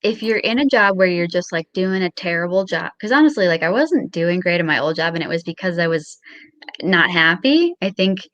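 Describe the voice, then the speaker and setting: A woman's voice: fairly low pitch, animated, brisk, fairly bright tone, dull audio. A young woman chatting casually as an interview guest, with a modest, somewhat hesitant way of talking. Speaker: guest on a show.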